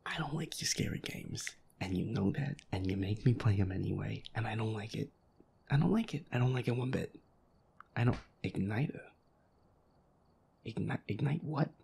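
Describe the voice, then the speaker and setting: A man playing a feisty boyfriend in a roleplay audio, muttering whiny, sweary complaints over a scary video game in a breathy half-whisper. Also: on the low side, expressive, normal speed, darkish tone, a little hiss or hum. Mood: exasperated, grumbling. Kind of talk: roleplay audio, improvised gaming chatter.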